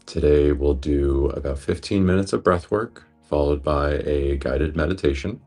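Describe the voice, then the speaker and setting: A man guiding a breathwork meditation in a gentle, unhurried, reassuring manner. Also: extremely low pitch, soft background music. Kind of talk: guided meditation instruction.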